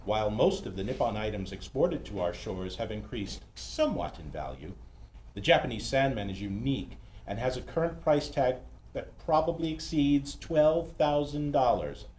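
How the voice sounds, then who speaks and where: Casting: male actor as fussy, affectionate arty sidekick in a radio mystery, theatrical, very animated delivery, noisy recording. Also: mid-range voice, normal speed, natural voice. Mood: knowing, ornate. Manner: theatrical, ornate, coy endearments. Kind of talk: old-time detective radio acting. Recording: roomy, noisy live stage recording.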